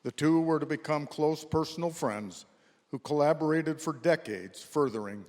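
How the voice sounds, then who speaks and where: A man's voice: fairly high pitch, normal speed, a little mellow. A man narrating a scripted history for a readers theater performance. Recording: echoey hall with long reverb.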